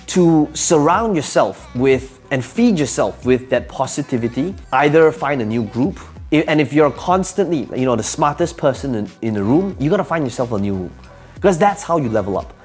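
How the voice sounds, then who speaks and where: A man's voice: on the high side, animated, moderate pace, a little mellow, faint music behind. A man whose first language is Cantonese gives motivational advice to camera, speaking emphatically, asking rhetorical questions and repeating his key phrases. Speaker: entrepreneur giving advice.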